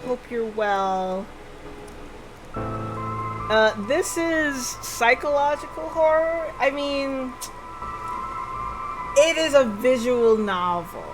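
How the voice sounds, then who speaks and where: Unhurried woman streamer over clear music. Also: sits high, lively intonation, rough recording. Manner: hesitant, thinking aloud in fragments. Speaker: livestream host. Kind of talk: casual live game-stream chat with viewers. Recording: background music under the voice.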